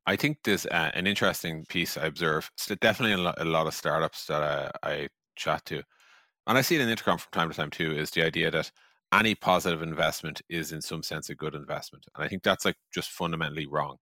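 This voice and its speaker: Deep-voiced man talking business, punchy and bright. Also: quick pace, dry recording, clean studio sound. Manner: conversational, emphatic, peppers talk with "like" and "you know". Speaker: podcast co-host and software executive. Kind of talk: casual business discussion.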